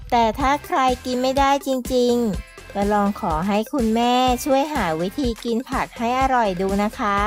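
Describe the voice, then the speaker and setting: A woman narrating a scripted children's story, explaining things to young listeners as friends. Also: a little high.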